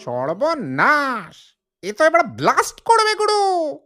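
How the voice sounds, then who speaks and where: A woman's voice: high voice, huge pitch swings, fairly bright tone, full character voice. Woman doing a cocky young brainy leader, super swoopy and dramatic, big reverb, comedy audio drama. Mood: alarmed. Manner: theatrical, drawn-out boasting and exclamations. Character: cocky young know-it-all leader of three friends, excitable. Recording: long hall reverb.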